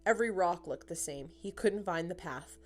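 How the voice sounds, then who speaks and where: A woman's voice: fairly low pitch, normal speed, soft background music. A woman narrating a children's picture book as a scripted storytime read-aloud.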